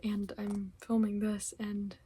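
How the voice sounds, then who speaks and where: A young woman, a little hoarse from losing her voice, chatting casually about books she read, rambling with lots of fillers and giggles. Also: mid-range voice, monotone, slow, dark tone, no echo. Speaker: book vlogger. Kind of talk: casual book wrap-up chat.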